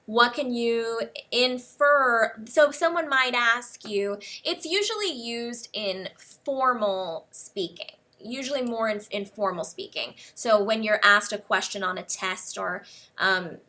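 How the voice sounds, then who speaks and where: An American woman teaching English one-on-one, explaining words slowly and spelling them out letter by letter. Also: on the high side, pushed and bright.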